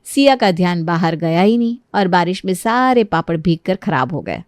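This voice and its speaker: A woman's voice: on the low side, very animated, normal speed, no room sound, studio quality. A woman narrating a scripted moral story as its storyteller.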